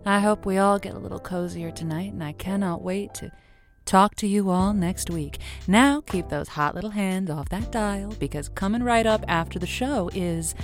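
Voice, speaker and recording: A woman's voice: mid-range voice, normal speed, faint music behind, close to an everyday voice. An actress playing an effusive, coaxing female radio host who gives chatty, encouraging romance advice in an audio drama. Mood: cozy announcing. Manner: effusive, coaxing, pet names for listeners. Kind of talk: semi-improvised audio drama, late-night call-in radio host.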